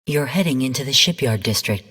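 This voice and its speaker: An actress playing a female artificial intelligence mentor in a video game, delivering mission briefings in a calm, stern, measured and formal way. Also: extremely low pitch, breathy edge, long reverb tail, full character voice. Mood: cool, informative. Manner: measured, formal, cool, precise. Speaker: game guide and mission narrator.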